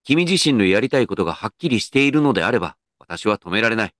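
An actor playing an earnest, dignified company president in a comedic radio drama, with pompous, measured executive speech. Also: male voice, on the low side, big pitch swings, fairly bright tone, dry recording, studio quality. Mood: grave, probing.

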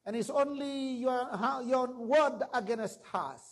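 A man's voice: extremely high pitch, slow. A middle-aged man preaching a sermon from a church stage into a handheld mic, emphatic and rising to exclamations. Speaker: pastor.

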